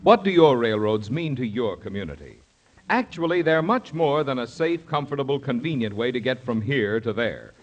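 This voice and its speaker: A man's voice: mid-range voice, lively intonation, moderate pace, highs cut off. A man reading scripted 1950s radio announcements as the show's announcer, on a vintage broadcast recording. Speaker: radio announcer and sponsor spokesman. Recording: vintage radio broadcast, some music behind.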